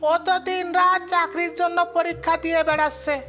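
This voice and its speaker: Woman acting village neighbour, very high pitch, bright forceful tone, emphatic, indignant, cartoon drama. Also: flat delivery, brisk, soft background music. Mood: indignant sympathy.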